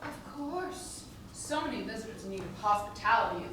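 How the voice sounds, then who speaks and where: A woman's voice: medium pitch, expressive, normal speed, recorded from a distance, close to an everyday voice. A woman playing a shameless, saucy streetwalker in a stage comedy, flippant and full of innuendo. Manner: suggestive, flippant. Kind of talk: musical comedy stage acting. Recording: echoey hall recorded from afar, noisy.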